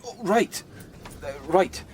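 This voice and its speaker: A man's voice: high voice, animated, slow, a little mellow. A man acting in a radio drama as a bumbling, eager junior policeman, hesitant and flustered. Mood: awkward.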